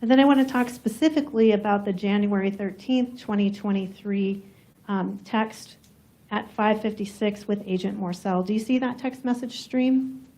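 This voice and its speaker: A soft-spoken woman prosecutor questioning a witness in a courtroom, measured and methodical. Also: medium pitch, low-quality recording. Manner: measured, formal, methodical questions with some fillers.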